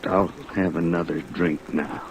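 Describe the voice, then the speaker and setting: A man's voice: low voice, little pitch movement, a little slow. An actor playing a nervous, hard-drinking crewman with plain, uneducated speech in an old-time radio drama. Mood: shaken. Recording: muffled, noisy vintage radio recording.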